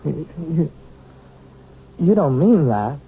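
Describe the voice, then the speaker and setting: An actor playing a sulky young music pupil in a 1970s radio drama, halting and sullen. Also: male voice, on the high side, sing-song, husky, full character voice. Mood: hurt, subdued. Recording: noisy, tinny old radio broadcast.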